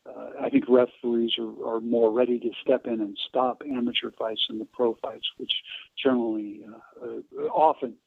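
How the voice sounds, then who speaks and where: An American man of around seventy telling stories in an interview, halting and digressive, often pausing mid-sentence. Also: mid-range voice, little pitch movement, gravelly, no room sound. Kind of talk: conversational interview storytelling. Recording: narrow phone-like line.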